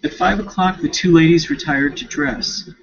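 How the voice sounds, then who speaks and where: A man giving a casual, rambling recap as a student, talking half to himself in informal, slangy, halting phrases with self-corrections on a dull home recording. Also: a little high, creaky. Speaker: student reading a novel for a class paper.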